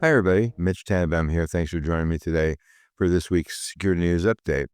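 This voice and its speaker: A man hosting a weekly security news update, talking with a rough voice, giving blunt, dry asides with frequent ums and uhs. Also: low-pitched, quick pace, darkish tone. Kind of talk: solo news commentary.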